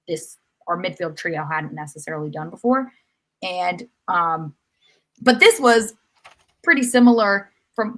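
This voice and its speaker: Woman with a lowish voice, talking soccer. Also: a bit bright. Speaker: sports podcast panelist. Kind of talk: casual soccer match analysis.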